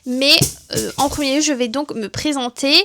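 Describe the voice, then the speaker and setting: Woman, 30s, from southwest France; high-pitched, casual vlogger chat, filler-heavy. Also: moderate pace, a little hiss or hum. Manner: chatty, many fillers and restarts.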